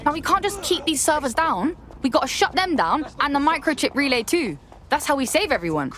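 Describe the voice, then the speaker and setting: A woman's voice: sits high, very animated, a little fast, fairly bright tone. An actress playing a brash, foul-mouthed female hacktivist in a video game, blunt and streetwise. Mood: urgent.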